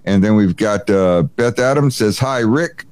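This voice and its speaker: A man's voice: fairly low pitch, expressive, measured pace, a little mellow, clear, resonant tone. A man hosting a live stream, reading viewer comments aloud in a jokey, chatty way with lots of fillers. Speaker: host.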